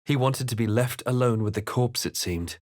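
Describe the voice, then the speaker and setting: A man voicing a brooding adventurer hero in a game, narrating his perils in a measured, grave manner. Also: mid-range voice, darkish tone, no echo, clean studio sound, lightly acted. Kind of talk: dramatic game narration and short character remarks.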